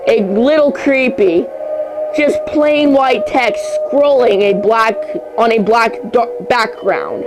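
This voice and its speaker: Slow, stumbling amateur horror story narrator. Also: medium pitch, low-quality recording. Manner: halting, stumbles over words and repeats phrases. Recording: music under the voice. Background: music.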